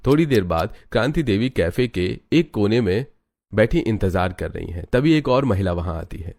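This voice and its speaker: A man's voice: on the low side, animated, normal speed, a little mellow, no echo. A man giving a dramatic scripted narration of a family story. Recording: music behind the voice. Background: background music.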